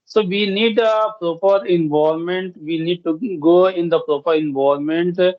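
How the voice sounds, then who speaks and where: Halting Hindi-speaking man, dull dry sound. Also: sits high, fairly even intonation, no room sound. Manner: halting, many fillers and restarts. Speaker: guest English learner. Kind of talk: conversational practice chat.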